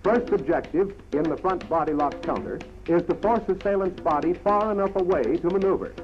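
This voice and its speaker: Male narrator, American, high-set voice, formal 1940s military instructional read, dull old film sound with music behind. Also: fairly quick. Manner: formal, clipped, instructional delivery.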